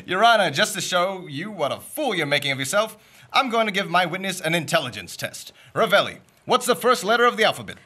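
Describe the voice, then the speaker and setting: A young man playing a greedy, fast-talking male shyster lawyer who makes grand courtroom speeches full of wisecracks, in a comic radio play. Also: sits high, big pitch swings, bright, forceful tone, husky, heavily acted. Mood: wisecracking. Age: young adult.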